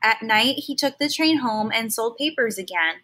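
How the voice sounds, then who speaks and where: A woman reading a children's story aloud like a teacher, expressive and stopping now and then to explain words in simple terms. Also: fairly high pitch, moderate pace.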